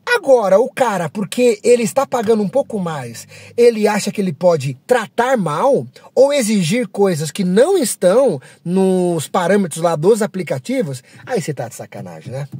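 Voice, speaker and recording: São man, high husky voice, frank rambling storyteller, dry close sound. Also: big pitch swings, moderate pace, darkish tone, no room sound. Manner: rambling, frank, self-deprecating, with many fillers. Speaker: app driver. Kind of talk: casual storytelling vlog.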